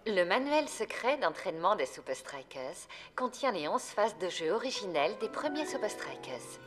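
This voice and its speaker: A woman's voice: medium pitch, sing-song, normal speed, soft background music, lightly acted. A woman playing a museum guide in a cartoon, delivering formal, presentational scripted narration. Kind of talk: scripted documentary-like narration in a French cartoon dub.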